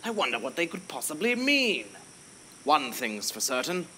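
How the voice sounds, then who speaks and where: A man acting a bright, excitable bumbling adventurer. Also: a little high, moderate pace, a little hiss or hum. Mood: puzzled. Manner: theatrical, old-fashioned quaint exclamations. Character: bumbling excitable adventurer hero, thinking aloud. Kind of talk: audio adventure story acting.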